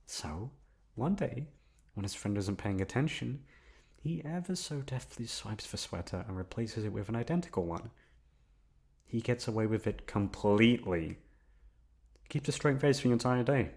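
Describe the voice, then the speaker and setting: A man playing an obsessive, lovesick young man in an intimate ASMR roleplay, coaxing softly with drawn-out words and emphatic repetition. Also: mid-range voice, animated, lightly acted. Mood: obsessive, yearning. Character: obsessive lovesick young man confessing to his best friend.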